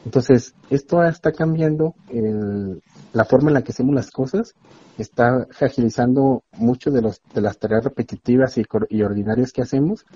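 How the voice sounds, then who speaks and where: Mellow husky man explaining. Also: medium pitch, normal speed, dry recording. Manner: earnest, explains at length. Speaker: university expert guest. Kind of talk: explanatory interview talk. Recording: dull lo-fi dry sound.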